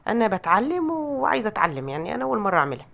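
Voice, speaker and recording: A woman's voice: mid-range voice, big pitch swings, very fast, creaky, fairly bright tone. A woman with an Egyptian Arabic accent gives a casual, chatty, self-deprecating recipe voice-over as a home cook, her voice altered by a cold. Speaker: home cooking vlogger.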